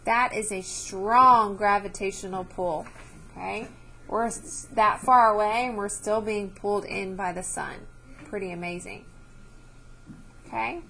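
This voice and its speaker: A woman's voice: mid-range voice, fairly even intonation, a little slow, creaky, sharp tone, a little hiss or hum, rough recording. A woman teacher reading a science book aloud to children, explaining as she goes and checking understanding with short questions.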